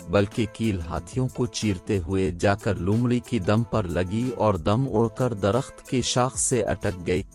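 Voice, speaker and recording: A man's voice: lowish voice, darkish tone, close to an everyday voice. A man playing a boastful, bickering teller of tall tales in a children's audio story. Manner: bragging, one-upping. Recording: voice over background music.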